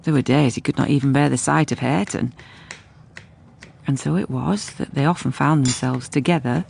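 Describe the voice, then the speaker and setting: An actress playing a level-headed housekeeper who narrates a gothic radio drama in a measured, grave, reflective way. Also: female voice, extremely low pitch, vocal fry, darkish tone, a little hiss or hum, full character voice.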